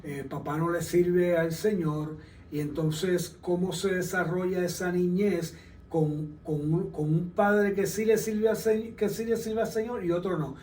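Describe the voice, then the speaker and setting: High-voiced male show host, roomy. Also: fairly even intonation, measured pace, a little mellow, a little hiss or hum. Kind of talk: interview hosting. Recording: roomy, distant mic.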